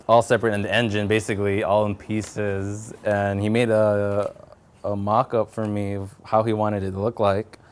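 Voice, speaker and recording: A young man giving a casual, matter-of-fact technical walkthrough as a bike builder, in a halting way. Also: lowish voice, clear, resonant tone. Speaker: custom motorcycle builder. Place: home garage with door open.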